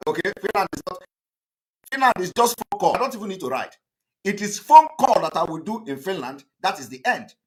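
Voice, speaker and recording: A Nigerian man speaks as a political activist broadcasting to his followers. His voice sits a little high, and he is emphatic and defiant, hammering points home by repeating them. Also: big pitch swings, fairly quick. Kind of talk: impassioned political monologue to camera.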